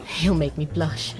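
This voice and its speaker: An actress playing a composed, disarming and evasive female con artist in a radio drama. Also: low voice.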